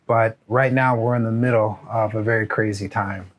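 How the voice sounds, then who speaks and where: A man's voice: on the low side, steady tone, moderate pace. An American man in his forties, a surgeon, speaking in a documentary as narrator and interviewee. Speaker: surgeon, head of a clinic.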